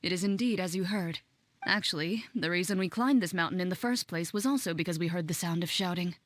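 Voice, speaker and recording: A woman voicing a cool, composed young huntress in a video game, terse, measured and dry. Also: lowish voice, lightly acted. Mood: deadpan. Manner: deadpan, measured, matter-of-fact. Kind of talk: video game character dialogue.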